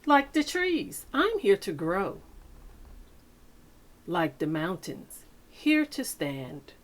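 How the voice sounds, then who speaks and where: A woman reading a picture book aloud to children like a teacher, with deliberate, dramatic phrasing and pauses. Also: mid-range voice, wildly swooping pitch. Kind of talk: expressive children's read-aloud.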